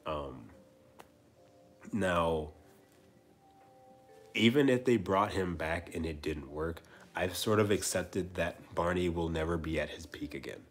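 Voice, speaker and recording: A man in his twenties hosting a casual livestream chat, rambling and repeating himself with soft trailing asides. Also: deep voice, takes it slowly, long pauses, faint music behind. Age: 20s. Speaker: livestream host.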